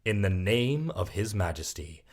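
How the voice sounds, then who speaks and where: An actor playing a stern royal officer giving formal commands in an audio drama. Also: male voice, low voice, expressive, unhurried, a little mellow. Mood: stern, official. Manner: formal, authoritative.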